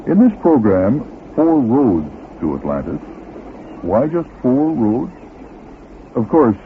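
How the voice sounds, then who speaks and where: A man's voice: lowish voice, a little hiss or hum. A man reading scripted narration as the host of a radio documentary. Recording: muffled lo-fi old radio recording.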